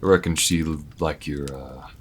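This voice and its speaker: Man voicing a very deep, laid-back alien gunslinger. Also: fairly even intonation, moderate pace, slight background noise. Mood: reflective. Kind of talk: slow-burn audio roleplay, intimate monologue to listener.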